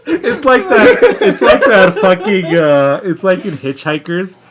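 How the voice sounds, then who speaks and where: A man with a somewhat high, very lively voice talks as a podcast co-host. His delivery is casual and joking, swinging in pitch, with halting, wandering phrasing and casual swearing. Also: crowd noise.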